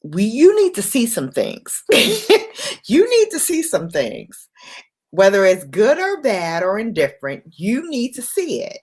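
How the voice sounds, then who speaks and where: A woman hosting an impromptu late-night livestream, speaking in an unhurried, reflective way in short, paused phrases. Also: mid-range voice, wildly swooping pitch, a little mellow, breathy edge. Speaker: livestream host.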